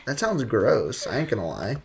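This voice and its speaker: A man's voice: lowish voice, sing-song, normal speed, vocal fry, a little hiss or hum. A man live streaming a video game, chatting casually with viewers in a slangy, joking, rambling way.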